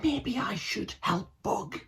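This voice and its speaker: A timid young schoolboy sidekick speaks in a soft, slightly raised voice and is easily distracted, as a man voices him while reading a storybook aloud. His lines come out easygoing and a little hesitant. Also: sits high, expressive, slow pace, pitched above the performer's own voice, full character voice.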